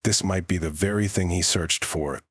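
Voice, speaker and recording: Very deep, husky male narrator. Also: steady tone, moderate pace, no echo, studio quality. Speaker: audiobook narrator. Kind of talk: scripted fantasy narration.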